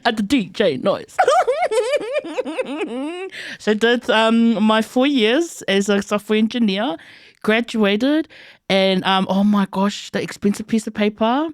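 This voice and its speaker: A woman's voice: medium pitch, slow, no echo, clean studio sound. Upbeat young New Zealand woman. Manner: playful, upbeat, laughs between lines. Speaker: podcast co-host. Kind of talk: casual podcast chat.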